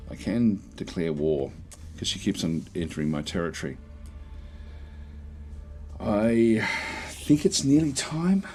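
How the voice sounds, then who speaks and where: Croaky low-voiced man commentating a strategy game. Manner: thinking aloud, many fillers and restarts. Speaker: gameplay commentator.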